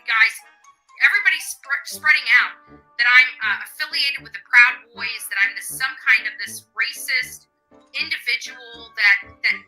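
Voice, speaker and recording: An American woman delivers an unscripted monologue to her viewers. Her voice is fairly high and extremely bright and tense, and she is defensive and emphatic, often repeating herself. Faint music sits behind her. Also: normal speed, rough recording.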